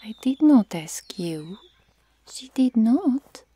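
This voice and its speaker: A woman playing a nosy, teasing Amazon warrior sister in an ASMR roleplay, speaking softly and often whispering. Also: on the high side, very animated, takes it slowly, dry recording, exaggerated voice. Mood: inquisitive. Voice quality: soft, breathy, often whispering. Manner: inquisitive, needling.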